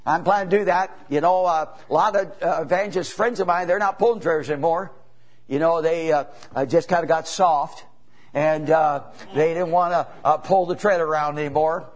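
A man in his seventies preaching emphatically, high-voiced. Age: 70s. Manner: preaching with rising emphatic bursts and drawn-out pauses; folksy, repetitive, peppered with "you know" and "amen". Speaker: traveling evangelist preacher. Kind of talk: sermon.

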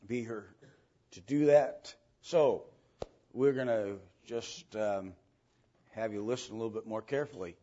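A man teaching an informal Bible lesson to a church group, with halting delivery and frequent pauses to clear his throat. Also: lowish voice, dark tone. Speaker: church Sunday school teacher. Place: church room with a group present. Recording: dull, lo-fi church recording.

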